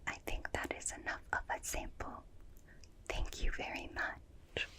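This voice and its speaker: A calm alien examiner, played by a woman, whispers very slowly and precisely, close to the ear. The breathy delivery has a bright, sharp edge. The manner is formal, courteous and soothing, in the style of an ASMR personal-attention roleplay. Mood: gentle, soothing. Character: polite, calm alien examiner studying a small human. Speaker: ASMR performer. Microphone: close binaural ASMR microphone.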